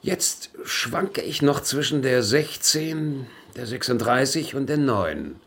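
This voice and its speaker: An actor playing a corrupt, self-pitying official who muses and wheedles in a German radio drama. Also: male voice, medium pitch, expressive, creaky, a bit bright, heavily acted. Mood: musing, confiding. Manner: musing, slow, wheedling.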